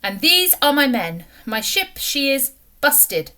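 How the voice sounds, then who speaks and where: A hearty male Russian sea captain, put on by a woman reading a storybook aloud, rolling out grand broken English in a thick put-on Russian accent. His voice sits bright and lively, swinging up and down with every proud phrase. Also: sits high, slow pace, pitched above the performer's own voice, exaggerated voice.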